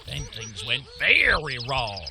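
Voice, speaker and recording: A man playing an excitable peasant villager in a comic video game. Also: mid-range voice, takes it slowly, a crowd in the background, exaggerated voice. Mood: aggrieved.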